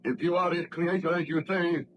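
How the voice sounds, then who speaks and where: An actor playing a stern, accusing village official with formal, declamatory speech in an old-time radio drama. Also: male voice, fairly high pitch, brisk, natural voice. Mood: stern questioning. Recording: noisy vintage radio drama recording.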